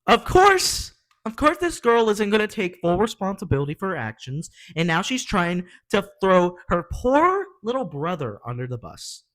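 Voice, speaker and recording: A man's voice: sits high, huge pitch swings, normal speed, fairly bright tone. A man hosting a reaction video with casual, slangy, opinionated commentary in an exasperated manner.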